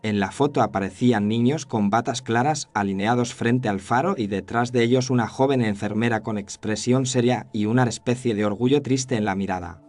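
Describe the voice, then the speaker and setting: A man playing a haunted, regretful cameraman who narrates a scripted horror story in the first person, solemn and measured. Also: medium pitch, darkish tone, close to an everyday voice.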